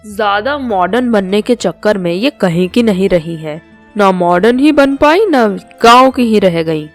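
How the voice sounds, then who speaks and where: A woman's voice: medium pitch, animated, a little slow, faint music behind, lightly acted. A woman voicing a disapproving old husband, scolding and exasperated, in storybook dialogue. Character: grumbling, disapproving old husband and father-in-law.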